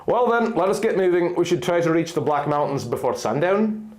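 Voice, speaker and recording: A man's voice: fairly high pitch, animated, a little fast, darkish tone, natural voice. A man voicing a stern, courteous griffin with formal, stately speech in a fantasy story reading. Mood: stern, urgent.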